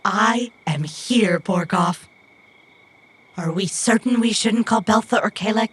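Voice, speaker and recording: A woman playing an earnest robot companion in an audio drama, literal-minded and brave though anxious, with stilted, formal, deliberate phrasing. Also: mid-range voice. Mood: nervous, tender.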